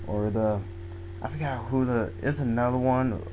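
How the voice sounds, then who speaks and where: A young man going hoarse, giving a rambling, casual talk about a manga full of "like" and restarts. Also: medium pitch, normal speed, background noise. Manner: rambling, filler-heavy, restarts often. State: losing his voice. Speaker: manga video blogger. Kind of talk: casual spoken manga review. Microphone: home microphone.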